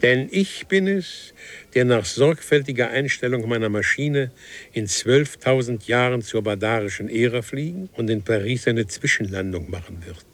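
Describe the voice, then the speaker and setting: A man's voice: medium pitch, expressive, moderate pace, natural voice. An actor playing a precise, ceremonious traveller from the far future in a radio play, solemn and formal as he explains things. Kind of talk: German radio play acting.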